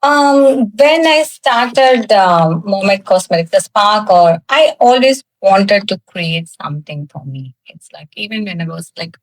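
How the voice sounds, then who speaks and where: A woman from South India whose first language is Tamil, telling her personal story as a guest in a podcast interview, candid, with frequent laughs and halting fillers. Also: mid-range voice, dry recording, rough recording. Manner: candid, laughs often, pauses with many fillers. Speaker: guest, cosmetics founder.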